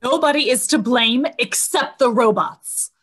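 A woman's voice: fairly high pitch, a little slow, slightly rough. A woman acting an indignant, blustering company officer in an old radio drama.

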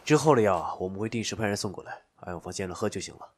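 Man as a husky, deep-voiced doctor. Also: animated, very fast. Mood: reassuring. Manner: matter-of-fact, reassuring. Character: plain-spoken male doctor. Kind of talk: audio drama acting.

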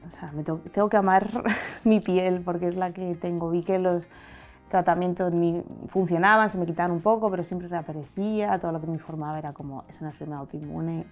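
A woman in her thirties from Madrid with a Castilian Spanish accent, speaking candidly and reflectively in an interview, seated on a sofa in a bright studio with a lapel mic. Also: on the low side. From Madrid, Spain. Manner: reflective, open, gesturing with her hands. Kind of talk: candid personal testimony.

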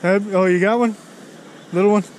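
A man with an American accent and a fairly high voice talks casually as he fishes. He is outdoors by a city river, with faint noise behind him on an action camera. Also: fairly even intonation, moderate pace. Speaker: fishing vlogger. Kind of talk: casual chat while fishing. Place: outdoors beside an urban river. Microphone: action camera worn on the body.

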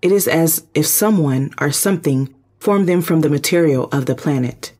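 An actor playing a calm spaceship computer in a sci-fi audio drama, giving formal, precise reports. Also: medium pitch, normal speed, husky, lightly acted. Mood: neutral, precise.

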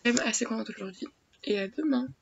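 A woman's voice: on the high side, rapid-fire. A teenage girl chatting casually in her small attic bedroom, full of fillers and restarts, recorded on a built-in webcam mic. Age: teens. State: stuffy nose from a cold. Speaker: beauty vlogger. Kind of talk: casual daily unboxing vlog. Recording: dull lo-fi webcam audio with faint noise.

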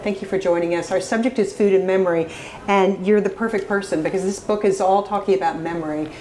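A woman's voice: medium pitch, steady tone, fast talker, a little mellow, reverberant, a little hiss or hum. A woman with a British accent interviewing an author in a shop kitchen, curious and friendly in her questions. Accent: British English.

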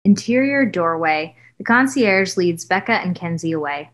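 A woman's voice: lowish voice, expressive, normal speed. A woman reading screenplay stage directions as scripted narration.

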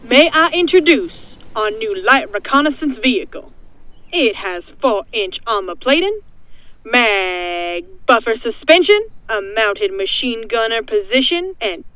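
A woman voicing a gruff, bossy, sarcastic male army sergeant in a fan parody cartoon dub, barking out orders. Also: on the high side, animated, unhurried, narrow, tinny audio. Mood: proud. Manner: barking, drawling mock orders.

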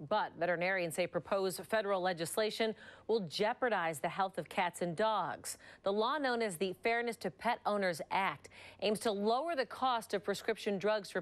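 American woman anchor, mid-pitched. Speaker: news anchor. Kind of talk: scripted news anchor read.

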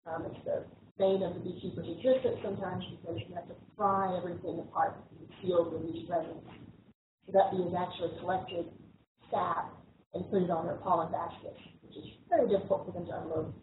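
A woman's voice: fairly low pitch, brisk, a little hiss or hum. Distant, tinny lecturing woman. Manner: relaxed, frequent ums and restarts. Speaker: lecturer on bees. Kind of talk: slide lecture. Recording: echoey, tinny, distant room recording.

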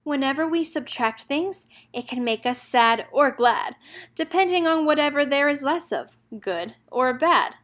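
A woman's voice: high voice, lively intonation, unhurried, fairly bright tone. A woman narrator reading a children's picture book aloud, expressively and in a rhythmic lilt of rhyming verse.

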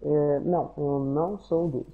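A man's voice: a little high, fairly even intonation, a little slow, vocal fry, slightly rough, phone-quality sound. A man from Minas Gerais with a Mineiro accent, giving short laid-back replies in game voice chat. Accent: Mineiro Brazilian Portuguese. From Minas Gerais, Brazil. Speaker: random teammate on voice chat.

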